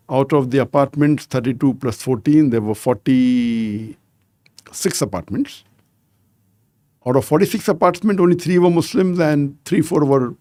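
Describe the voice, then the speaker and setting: Mellow-voiced man in his sixties recounting stories. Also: on the high side, no echo, studio quality. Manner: measured anecdotal telling, frequent "you know". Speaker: podcast guest. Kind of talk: conversational storytelling interview.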